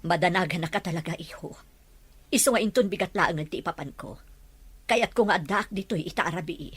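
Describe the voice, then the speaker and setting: A woman's voice: fairly low pitch, fairly quick, fairly bright tone, breathy edge, no room sound. A woman with a Filipino accent playing a caring, worried mother in a radio drama. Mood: tender, worried.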